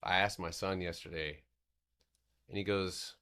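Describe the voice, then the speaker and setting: Very deep-voiced man streaming trades. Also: measured pace, clear, resonant tone. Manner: loose, rambling, swears casually; steady explanatory tone on charts. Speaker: stock trading streamer. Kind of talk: casual livestream market recap.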